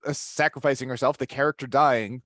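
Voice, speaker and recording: A man's voice: medium pitch, steady tone, a little fast, a bit bright, dry recording, clean studio sound. A man talking casually as a panelist in a podcast discussion.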